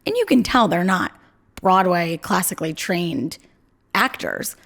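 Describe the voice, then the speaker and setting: A young woman co-hosts a casual podcast from home, with a low voice that has a gravelly fry. Her pitch swings around a lot as she chats in a self-deprecating way. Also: very animated, measured pace, fairly bright tone. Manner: chatty, self-deprecating, lots of "like" and "I mean". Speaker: podcast co-host. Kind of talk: casual chat.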